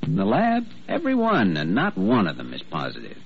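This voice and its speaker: An actor playing an earnest, easygoing young doctor in vintage radio drama, polite and gently wry. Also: male voice, deep voice, wildly swooping pitch, fairly quick, vocal fry, slightly rough, full character voice. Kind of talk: 1940s radio drama acting. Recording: muffled old radio recording.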